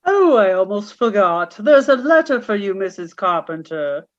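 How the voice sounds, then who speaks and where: A woman's voice: mid-range voice, sing-song, normal speed, dull audio, close to an everyday voice. A woman playing a deferential, chatty and politely nosy hotel receptionist in a radio play. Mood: brisk, helpful.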